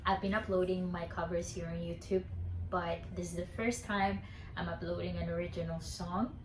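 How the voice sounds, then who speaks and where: Soft-spoken woman in an echoey room. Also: on the low side, fairly even intonation, moderate pace, a little hiss or hum. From Colombia. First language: Spanish. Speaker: singer-songwriter. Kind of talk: casual talk to camera.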